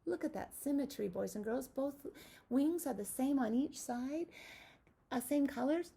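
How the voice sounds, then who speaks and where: A woman's voice: sits high, lively intonation, unhurried, vocal fry, mellow, slightly rough. A woman teacher reading a picture book aloud expressively to young children, adding encouraging asides and questions.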